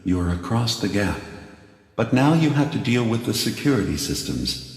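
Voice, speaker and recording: An actor playing a calm, burdened male android leader in a video game, speaking in a measured, deliberate, formal way. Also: on the low side, husky, heavily acted. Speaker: game character guiding the player. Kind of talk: scripted video game voice acting.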